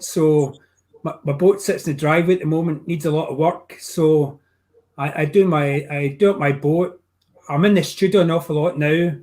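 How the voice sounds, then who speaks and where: A man's voice: on the high side, darkish tone. An older man with a Glasgow accent, a painting teacher chatting over a video call from his home studio, rambling with many restarts. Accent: Glaswegian. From Scotland. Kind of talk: casual video call chat.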